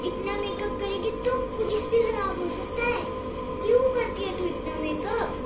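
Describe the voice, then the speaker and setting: A woman's voice: very high-pitched, normal speed, over loud music. A young girl playing a scolding, exasperated mother in a home-made story, nagging and exclaiming. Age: child, under twelve.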